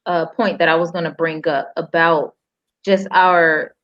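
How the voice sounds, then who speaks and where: An American woman talks as a panelist in a somewhat low voice. Her phrasing is casual and hesitant, and the audio sounds dull and lo-fi. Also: fairly even intonation, measured pace, a bit bright.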